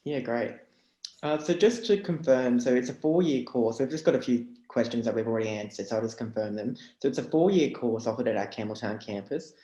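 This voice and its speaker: Male host, mellow dark tone, brisk question reader, echoey room. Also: mid-range voice. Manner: brisk, upbeat moderator. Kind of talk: reading audience questions.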